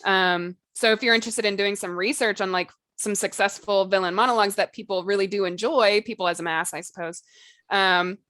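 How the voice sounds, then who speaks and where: A woman chats as a podcast co-host in a dry, close recording. Her pitch sits in a middle range for a woman. She talks casually and conversationally, hedging as she goes. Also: fairly even intonation, brisk, fairly bright tone, no echo.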